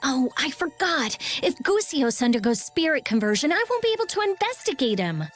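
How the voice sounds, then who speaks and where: A high, lively teenage girl scholar in a fantasy game. She is brisk, blunt and curious, with swinging intonation. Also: faint music behind. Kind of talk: English game dub voice acting.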